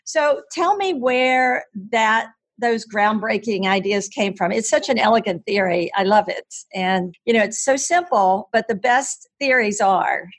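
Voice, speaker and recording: A woman's voice: on the high side, measured pace, few pauses. A woman who is a professor and host, interviewing a guest and praising his ideas with enthusiasm. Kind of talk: interview hosting.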